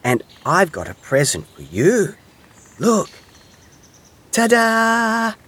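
Man voicing an excitable little hedgehog, high-pitched. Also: unhurried, close to an everyday voice. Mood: excited, happy. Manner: eager, childlike, expressive. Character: excitable, tender-hearted little hedgehog, young male. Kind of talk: children's storybook character voice.

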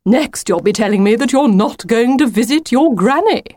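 A woman's voice: mid-range voice, very animated, slow pace, darkish tone, no room sound, voice pushed up. A woman voicing a pompous, insistent male storybook wolf with a grandiose, wheedling, theatrical manner. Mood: indignant, puzzled. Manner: grandiose, emphatic, wheedling old-fashioned phrasing.

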